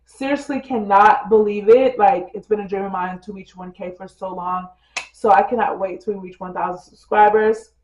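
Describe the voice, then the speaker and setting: A woman's voice: medium pitch, steady tone, moderate pace, a little hiss or hum. Chatty congested woman vlogger. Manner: chatty, full of "like" and "literally"; addresses viewers as "girl" and "you guys". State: slightly congested with a cold. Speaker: lifestyle vlogger. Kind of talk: casual tutorial chat. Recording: dull roomy recording with soft music.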